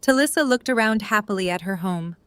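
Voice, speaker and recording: A woman's voice: mid-range voice, lively intonation, brisk, a bit bright, dry recording. A woman reading scripted story narration for an audio drama.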